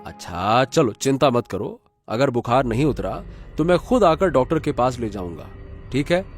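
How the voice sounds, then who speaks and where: A man's voice: medium pitch, sing-song, normal speed, faint music behind, lightly acted. A man acting an evasive, dismissive working father in a Hindi cartoon moral story. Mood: placating, exasperated.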